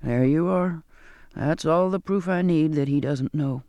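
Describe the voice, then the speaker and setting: A man acts out an earnest, kindly sailor in an audiobook story. He takes his time, coaxing and questioning in a measured way. The sound is dry and close. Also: on the high side, mellow, clean tone, no room sound, highs cut off. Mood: confiding, hopeful. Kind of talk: audiobook character dialogue.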